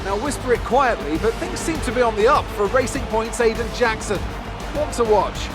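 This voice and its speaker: A man playing an excited motor racing commentator in a video game, projecting his announcements. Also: very high-pitched, moderate pace, music in the background. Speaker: race commentator.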